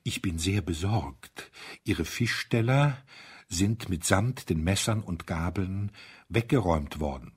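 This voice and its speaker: A male reader performs an anxious hotel owner from a storybook. He wheezes and stammers in agitation. His husky voice swings widely in pitch. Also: animated, takes it slowly, heavily acted. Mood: worried. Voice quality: wheezing, gasping. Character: anxious, asthmatic hotel owner, wringing his hands helplessly.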